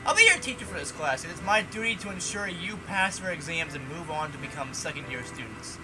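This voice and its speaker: A man's voice: fairly high pitch, lively intonation, a little fast, noisy background, natural voice. A man reading as a formal magic teacher. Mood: lecturing. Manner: formal, lecturing.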